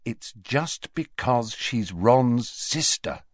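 A man's voice: fairly high pitch, expressive, takes it slowly, no echo, clean studio sound, voice pushed up. A man voicing an uneasy teenage boy who tries to keep the peace, in a storybook reading. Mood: troubled.

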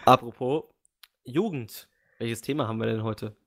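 A young man co-hosting a podcast in casual chat, easygoing, with halting restarts. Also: mid-range voice, gravelly, fairly bright tone. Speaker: podcast co-host.

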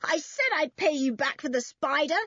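A woman's voice: fairly high pitch, huge pitch swings, normal speed, husky, no echo, dull audio, voice pushed up, full character voice. A woman voicing a bold, defiant tomboy girl in a children's story, feisty and challenging.

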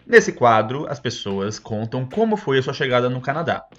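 A man's voice: medium pitch, big pitch swings, normal speed, fairly bright tone, lo-fi sound. A man with a Brazilian Portuguese accent hosting a podcast, explaining things to listeners in a casual, chatty way with frequent check-in tags. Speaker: podcast host.

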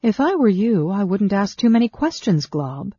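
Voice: mid-range voice, lively intonation, measured pace, mellow, slightly rough, muffled recording, pitched above the performer's own voice. A narrator voicing a bold, cool woman warrior who speaks in a commanding, scornful manner in a fantasy storybook.

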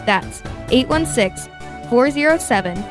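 Higher-pitched female announcer over music. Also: lively intonation, unhurried. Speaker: radio promo announcer. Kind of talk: scripted radio announcement.

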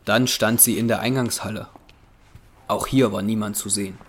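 A man narrating a scripted horror audio drama in German, with measured storytelling delivery. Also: on the low side, fairly even intonation, slight background noise. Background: music.

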